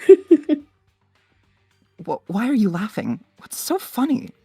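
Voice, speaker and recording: A woman in an amateur anime fan dub playing a dismissive, snappy teenage girl with a husky voice. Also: fairly high pitch, big pitch swings, slow pace, mellow, dry recording, clean studio sound, soft background music, exaggerated voice. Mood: exasperated.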